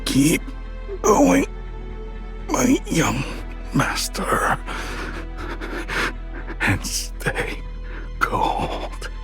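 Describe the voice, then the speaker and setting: A loyal male retainer on the edge of death in a game cutscene. His breathy, broken voice drags out very slowly and swings widely in pitch. Music plays behind him. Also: wildly swooping pitch, exaggerated voice. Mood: fading, tender. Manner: halting, very slow. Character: devoted, dying male retainer to a young master. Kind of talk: video game cutscene acting.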